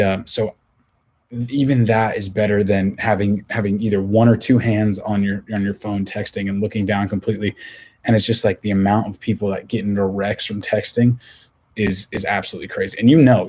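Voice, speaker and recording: Young man, hoarse, husky low voice, flat delivery, rambling casual podcast talk. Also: fairly quick. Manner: rambling, many restarts and filler words. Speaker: podcast host.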